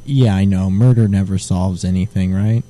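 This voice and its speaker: A man with a very dark, mellow voice placing a prank call. He talks low and deadpan, baiting the person on the line. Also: normal speed, a little hiss or hum. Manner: deadpan, provocative. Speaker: prank caller.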